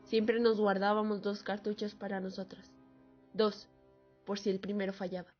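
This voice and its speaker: A woman's voice: medium pitch, flat delivery, moderate pace, close to an everyday voice. Solemn woman war veteran played by an actress, muffled. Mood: grim testimony. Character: female war veteran, solemnly testifying to atrocities. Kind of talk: dramatic radio monologue. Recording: muffled lo-fi audio under music.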